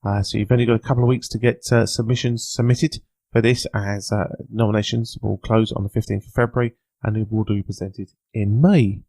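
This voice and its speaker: British man presenting, halting and dry-miked. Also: fairly low pitch, little pitch movement, gravelly, darkish tone, breathy edge, no echo. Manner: hesitant, halting delivery with frequent filler sounds. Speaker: podcast host and news presenter. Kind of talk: informal podcast news read and interview.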